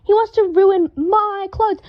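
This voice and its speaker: A woman's voice: extremely high pitch, fairly even intonation, takes it slowly, dull audio, pitched above the performer's own voice. A woman reading aloud, voicing a fussy, haughty young wizard man who shrieks in indignant fury. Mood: furious.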